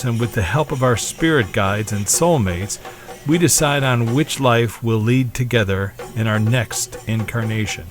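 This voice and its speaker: A man delivering scripted documentary narration in the role of narrator. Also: mid-range voice.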